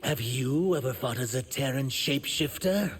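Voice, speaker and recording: A man's voice: medium pitch, expressive, moderate pace, lightly acted. A man voicing a cold, menacing fighter in a video game. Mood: cold menace. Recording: long reverb, faint noise.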